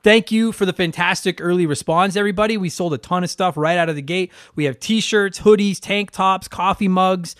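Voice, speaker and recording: Man, podcast host, stuffed-up nose, rambling casual chat, high voice, dry close sound. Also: brisk, few pauses, a bit bright, no echo. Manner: rambling, profane, self-deprecating. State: stuffed up from allergies.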